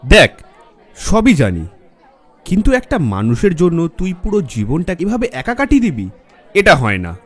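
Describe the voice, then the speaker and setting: An actor playing a caring, nagging young bridegroom friend in a horror audio drama. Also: male voice, high-pitched, wildly swooping pitch, moderate pace, long pauses, husky, heavily acted. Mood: coaxing. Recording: music and faint crowd behind. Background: music, faint crowd murmur.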